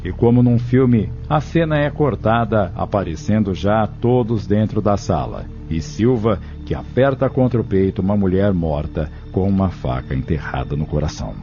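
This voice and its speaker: A man's voice: on the low side, lively intonation, moderate pace, few pauses, mellow. A man giving scripted, dramatic narration for an audio novel. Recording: dull, lo-fi recording with music.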